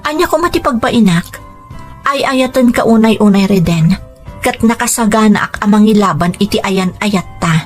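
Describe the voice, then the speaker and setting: A woman's voice: mid-range voice, normal speed, close to an everyday voice. A woman playing a devoted young daughter in love, speaking tenderly and earnestly in a radio romance drama. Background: background music.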